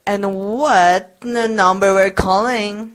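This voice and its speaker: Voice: medium pitch, fairly even intonation, slow pace, close to an everyday voice. An actor playing a bored, dismissive police desk officer in a radio play, laconic and placating. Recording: clearly noisy recording with clicks.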